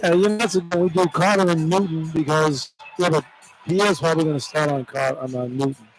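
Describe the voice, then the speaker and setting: Halting, higher-pitched male sports analyst. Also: faint music behind, poor audio. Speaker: radio color analyst. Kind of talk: casual sports chat. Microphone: broadcast headset mic.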